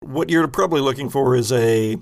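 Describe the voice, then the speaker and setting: A man acting as game master of a tabletop roleplaying game, calling for rolls and describing what the players see in a steady, directive way. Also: medium pitch, a little fast, creaky.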